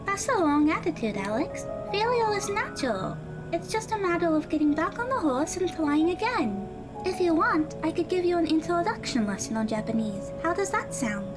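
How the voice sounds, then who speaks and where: Over background music, a woman plays a studious teenage girl with a very high, animated voice. She is earnest and encouraging, choosing her words precisely and formally, in the manner of an audio drama. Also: moderate pace. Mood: encouraging, helpful.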